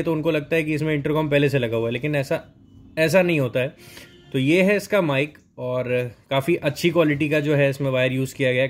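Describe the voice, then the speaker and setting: A young man doing a casual, explanatory unboxing narration, mixing English words into his speech. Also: a little high. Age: young adult. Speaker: product reviewer at a riding gear store. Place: indoors in a helmet and riding gear store. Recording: noticeable background noise, store ambience.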